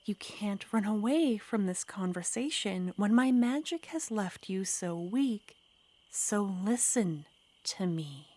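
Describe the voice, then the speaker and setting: A woman voicing a clingy, menacing yandere witch in an ASMR roleplay, speaking in a soft, coaxing whisper. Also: medium pitch, expressive, slow, dry recording.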